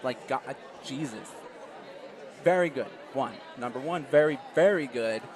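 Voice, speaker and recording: A man's voice: mid-range voice, lively intonation, measured pace, a little mellow, some chatter behind. A man hosting a let's play, reading a visual novel aloud dramatically and gushing about it between passages.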